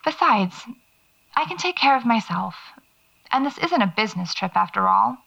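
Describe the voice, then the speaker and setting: An actress playing a pensive, wry horror writer, musing reflectively into a taped diary in an audio drama. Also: female voice, on the high side, very animated, normal speed, creaky, sharp tone, heavily acted. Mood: wry, casual. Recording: slightly dull cassette diary sound.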